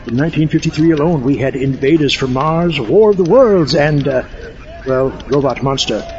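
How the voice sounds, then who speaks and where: Man as a pompous, lively mad scientist. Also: on the high side, lo-fi sound, natural voice. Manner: pompous, wry, wordy, mock-erudite. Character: theatrical mad-scientist doctor, fussy and haughty film buff. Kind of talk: comic audio-drama monologue.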